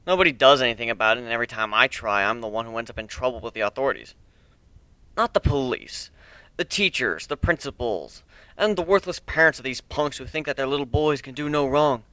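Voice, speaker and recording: A man's voice: medium pitch, pushed and bright, clean tone, no echo. A man reading a dramatic first-person story as a sarcastic, bitter bullied teenage nerd, with emphatic delivery. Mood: bitter, sardonic. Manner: sardonic, emphatic.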